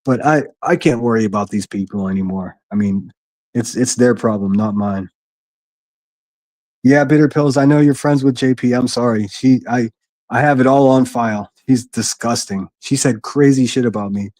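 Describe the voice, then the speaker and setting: Gruff guy ranting on his livestream, dark mellow voice, dry quiet room. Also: medium pitch, normal speed, dry recording. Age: adult, a military veteran. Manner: blunt, rambling, frequent restarts. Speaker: livestream host. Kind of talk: solo livestream commentary reading chat. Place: quiet living room at home.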